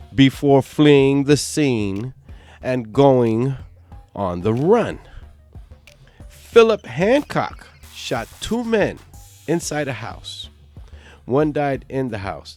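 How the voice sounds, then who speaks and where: A man hosting a true crime channel, giving a stern scripted narration while hoarse from a cold. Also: mid-range voice. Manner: stern, emphatic delivery.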